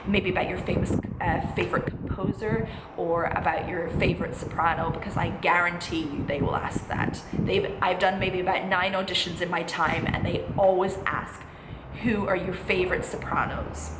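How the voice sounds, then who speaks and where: A woman's voice: lowish voice, steady tone, normal speed. A woman in her twenties, a student vlogger, giving chatty, encouraging, emphatic advice straight to viewers in a casual style. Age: 20s. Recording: echoey room with clear background noise.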